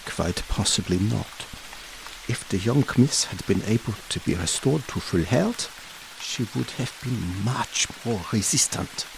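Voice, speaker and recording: An actor playing a learned, grave Dutch professor in an audio horror drama, speaking deliberately and cryptically in unidiomatic English. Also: male voice, lowish voice, animated, vocal fry, noisy background. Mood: guarded, cryptic. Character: learned, grave foreign professor who hunts vampires.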